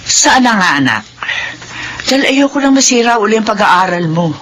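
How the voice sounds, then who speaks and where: An actress with a Filipino accent playing a devoted middle-aged mother in a radio drama, earnest and gentle in manner. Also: fairly high pitch, very animated, normal speed. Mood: concerned, gentle. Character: devoted, gently admonishing mother of teenage children. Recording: radio recording with faint background noise.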